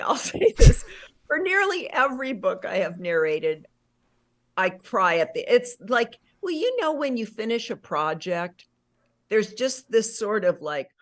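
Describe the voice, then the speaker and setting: A middle-aged woman, an actress and audiobook narrator, chatting casually in an interview, animated and full of fillers and self-corrections. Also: medium pitch.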